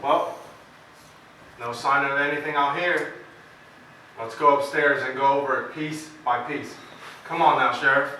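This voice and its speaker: A man playing a brisk, condescending county attorney in a rural period stage play, with a commanding manner. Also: medium pitch, steady tone, sharp tone. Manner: patronizing, commanding. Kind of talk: stage play acting, early 1900s rural American drama. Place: stage performance recorded from audience distance. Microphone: distant camera. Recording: echoey hall from far off, noisy.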